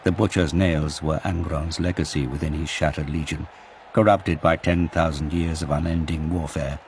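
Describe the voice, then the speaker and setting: A man giving a grave, intense, deliberate narration of scripted fiction for an audio drama. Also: extremely low pitch, flat delivery, a little mellow, slight background noise. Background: music.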